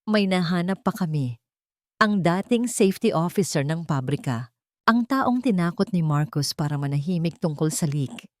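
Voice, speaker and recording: A woman voicing a male private investigator in an audio drama, grave and urgent, speaking deliberately and respectfully. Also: on the low side, animated, dry recording, studio quality, lightly acted. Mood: eager.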